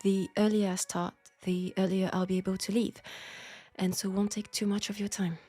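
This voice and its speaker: A woman's voice: mid-range voice, flat delivery, no room sound, faint music behind, close to an everyday voice. Woman playing a proud French-accented seamstress, close and dry. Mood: discreet, formal. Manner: polite, formal, measured, quietly self-assured. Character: proud, outspoken, formal young seamstress in steampunk Paris. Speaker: audio roleplay performer. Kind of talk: scripted intimate audio roleplay drama.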